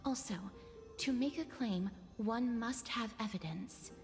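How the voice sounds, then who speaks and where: A woman's voice: a little high, a little slow, natural voice. A woman voicing an earnest, composed young heroine who lays out her reasoning. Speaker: game character. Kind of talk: video game voice acting. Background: game music.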